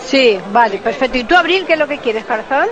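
A woman's voice: high-pitched, expressive, fast talker, muffled recording. Spanish woman chatting amid busy crowd. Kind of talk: casual chat over drinks.

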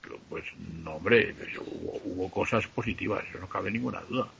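A man with a Spanish accent from Spain, explaining things casually and hesitantly, with drawn-out pauses. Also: low-pitched, vocal fry, fairly bright tone, highs cut off, a little hiss or hum. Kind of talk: casual unscripted discussion.

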